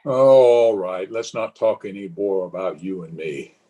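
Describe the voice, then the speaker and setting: A man's voice: deep voice, expressive, unhurried, dark tone, rough recording. A man playing a bored, sarcastic, irritable husband in the manner of a 1930s radio drama. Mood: bitter sarcasm.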